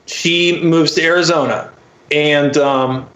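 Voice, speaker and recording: A man's voice: on the high side, steady tone, slow pace, a bit bright. A man telling a personal story casually as a podcast guest, candid and profane, peppered with filler phrases. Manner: candid, profane, frequent "like" and "you know". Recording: roomy, slightly dull muffled audio.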